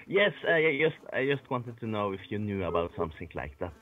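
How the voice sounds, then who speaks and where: A man's voice: on the low side, very animated, over music. Muffled Peruvian Spanish-accented man on a phone. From Peru. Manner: hesitant, soft-spoken, halting. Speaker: caller. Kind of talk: call-in chat.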